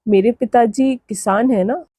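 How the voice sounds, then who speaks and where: A woman's voice: fairly high pitch, measured pace, mellow, breathy edge, no room sound, clean studio sound. A woman voicing a meek, polite young village daughter-in-law in a cartoon moral story. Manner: polite, deferential.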